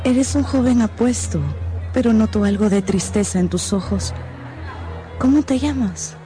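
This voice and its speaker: Dark-voiced, seductive noblewoman played by a woman. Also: on the high side, a little slow, faint music behind, natural voice. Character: seductive, self-assured noblewoman villainess. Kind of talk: Spanish-language radio drama acting.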